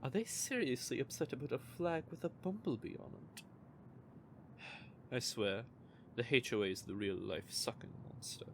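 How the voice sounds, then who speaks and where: A man's voice: mid-range voice, big pitch swings, normal speed, vocal fry, fairly bright tone, slight background noise. A man playing a smug, teasing male vampire who is playfully menacing, in an audio roleplay.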